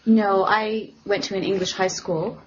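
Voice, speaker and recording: A woman's voice: medium pitch, fairly even intonation, unhurried. A woman from Montreal, an English teacher answering interview questions in a friendly, easygoing way. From Montreal, Canada. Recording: muffled lo-fi recording with faint hiss.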